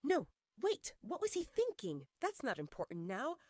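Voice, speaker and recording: A jealous, irritable male werewolf alpha, acted out by a woman narrator in an audio romance serial. The delivery swings widely in pitch and is recorded dry. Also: wildly swooping pitch, normal speed, darkish tone, no room sound, heavily acted. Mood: jealous outrage.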